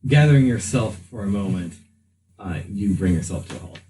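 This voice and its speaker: A man's voice: fairly low pitch, lively intonation, brisk, mellow, slight background noise. A man narrating a tabletop game as game master. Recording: roomy, echoey distant mic.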